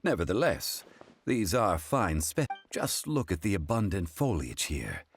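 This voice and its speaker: A man playing a refined, composed, erudite gentleman in a video game, speaking in a formal, measured, lecturing manner. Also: deep voice, very animated, slightly rough, dry recording, full character voice. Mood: scholarly.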